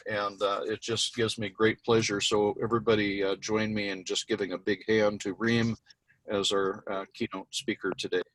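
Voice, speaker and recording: A man's voice: fairly low pitch, a bit bright, dry recording, rough recording. Man with a dry, close voice introducing a speaker. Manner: halting, with many uh and um fillers. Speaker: event host introducing a speaker. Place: mobile office with street noise around.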